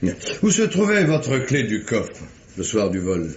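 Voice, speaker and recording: A police detective with a very deep voice, measured and formal as he probes and questions. The sound is muffled, like a vintage radio mystery play. He stays courteous but persistent. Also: wildly swooping pitch, a little hiss or hum. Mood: probing, courteous. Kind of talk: old French radio mystery drama acting.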